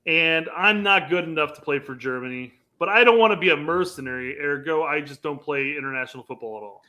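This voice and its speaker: A man's voice: fairly high pitch, fairly quick, bright, forceful tone. A man co-hosting a sports podcast, making his points with casual hypotheticals. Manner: conversational, argues with hypotheticals, laughs easily. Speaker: podcast co-host.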